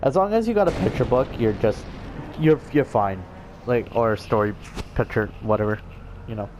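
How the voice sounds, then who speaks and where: A man's voice: medium pitch, moderate pace, a little mellow, a little hiss or hum, poor audio. A man giving casual let's play commentary in a hesitant, rambling way. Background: game music.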